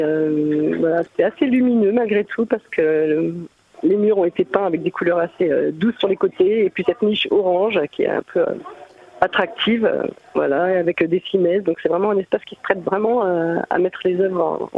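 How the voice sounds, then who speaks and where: A woman, an artist explaining her work in a conversational radio interview, pausing often as she searches for words. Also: on the low side, slightly rough. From Mamers, France. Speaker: visual artist, interview guest. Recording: muffled phone-like narrow band.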